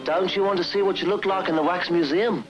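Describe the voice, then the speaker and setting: A man playing an excitable, jokey young pop musician in an old cartoon, with exaggerated delivery. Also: high-pitched, fast talker, dull audio, close to an everyday voice. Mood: playful. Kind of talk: 1960s cartoon voice acting. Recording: echoey reverberant cartoon soundtrack with music.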